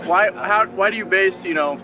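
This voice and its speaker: A man speaking off the cuff as a skeptical questioner in a debate, halting as he searches for words. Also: sits high, background noise. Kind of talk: spontaneous challenge in a debate. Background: music.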